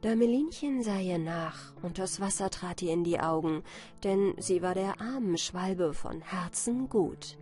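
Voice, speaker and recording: Unhurried woman reading a fairy tale. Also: lowish voice, expressive, dark tone. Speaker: audiobook narrator. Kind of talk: scripted bedtime fairy-tale narration. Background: music.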